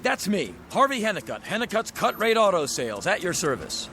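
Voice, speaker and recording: A man's voice: high-pitched, very animated, brisk, sharp tone, slight background noise, heavily acted. A man playing a slangy used-car lot owner with a salesman's patter in an audio drama. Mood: eager, showy.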